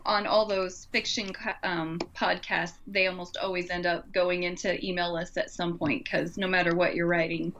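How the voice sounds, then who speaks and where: A woman's voice: on the low side, bright, forceful tone. A woman co-hosting a podcast in a casual, agreeable and chatty way. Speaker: podcast co-host.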